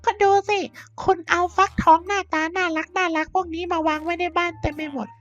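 A woman voicing a cheerful male villager uncle with a squeaky, pitched-up cartoon voice. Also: fairly even intonation, fairly quick, fairly bright tone, faint music behind. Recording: dull, muffled sound.

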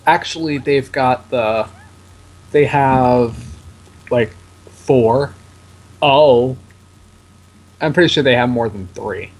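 A man with a deep voice chatting casually and reading game text aloud while playing. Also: slow, long pauses, rough recording. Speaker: let's play co-host. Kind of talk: casual gaming commentary.

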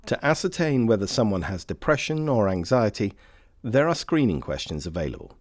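A man reading scripted instructional narration for a health training film in a formal, measured way. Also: fairly low pitch, big pitch swings, darkish tone, dry recording, clean studio sound.

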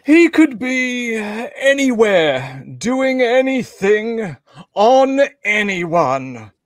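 A man voicing a theatrical, vengeful horned alien villain in a comedic table read, with drawn-out delivery. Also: very high-pitched, sing-song, no room sound, studio quality, exaggerated voice. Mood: brooding, vengeful. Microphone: home video call.

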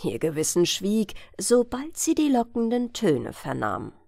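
A woman reading a fairy tale as a bedtime audiobook, in an expressive, measured storytelling manner. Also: medium pitch, vocal fry, dry recording, studio quality. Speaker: audiobook narrator.

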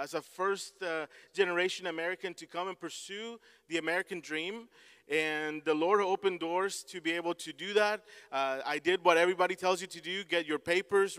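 A man's voice: sits high, fairly bright tone, clean tone. A man in his thirties from Mexico with a Mexican Spanish accent, speaking earnestly as a missionary from a church stage, often restarting his words. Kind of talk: church pulpit talk. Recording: long hall reverb.

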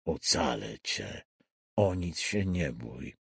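Man acting a low, gruff, menacing fake policeman. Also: slow pace, dry recording, clean studio sound, heavily acted. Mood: quiet menace. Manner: insistent, tired, calmly threatening. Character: low, weary, rough-voiced male intruder posing as policeman, menacing.

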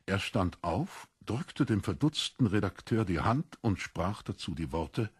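Low, husky male storyteller. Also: big pitch swings, unhurried, no echo, clean studio sound. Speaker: narrator. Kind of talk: expressive literary audiobook narration.

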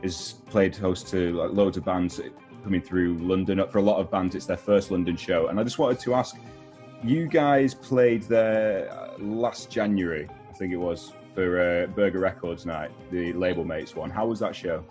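A young man with a low voice asks questions in a relaxed, chatty interview style. He is on a video call from home, and music plays beneath his voice. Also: moderate pace. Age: young adult. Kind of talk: casual interview chat.

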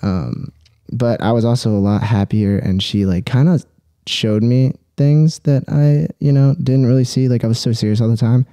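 A young adult man telling personal stories casually as a podcast guest, halting and rambling, with frequent swearing. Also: medium pitch, steady tone, mellow, clean tone, no room sound, clean studio sound.

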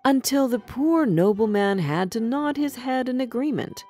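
A woman narrating a scripted fairy tale for children in an expressive, measured storytelling manner. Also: a little high, soft background music.

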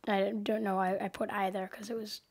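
A woman's voice: mid-range voice, little pitch movement, brisk, vocal fry, darkish tone. Young woman, sniffly with a cold, hosting a loose desk-mic coding tutorial, rambling and self-correcting. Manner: rambling, hesitant, many ums and self-corrections. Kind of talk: casual screen-recorded tutorial.

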